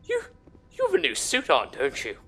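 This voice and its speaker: A man's voice: high voice, huge pitch swings, normal speed, fairly bright tone, slight background noise. A man doing casual let's play commentary, profane and sarcastic with mock indignation. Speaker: gaming video player and commentator. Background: game music.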